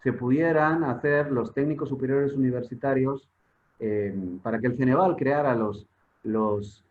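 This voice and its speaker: A man's voice: mid-range voice, steady tone, unhurried. A Spain-born man gives an emphatic talk over a video call, his voice thin and phone-like. He poses rhetorical questions and repeats words while he gathers his thoughts. He has a mid-range voice. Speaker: guest expert on public security. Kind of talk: conversational lecture in an online forum. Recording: tinny phone-like online call audio.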